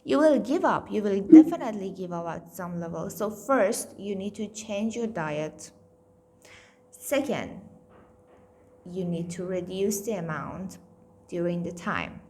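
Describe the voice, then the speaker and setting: Blunt Indian-accented woman, slow talk. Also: medium pitch, animated, dark tone, slight background noise. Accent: Indian-tinged English. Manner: candid, blunt, swears freely, self-mocking. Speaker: nutrition coach. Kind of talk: casual weight-loss vlog.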